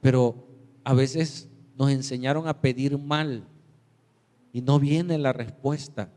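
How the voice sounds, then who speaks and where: A man's voice: fairly even intonation, slow, mellow. A man preaching a sermon as pastor, telling stories and acting out the voices of the people in them. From Mexico. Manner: storytelling, acts out quoted voices with big pitch jumps. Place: church sanctuary. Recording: reverberant church hall.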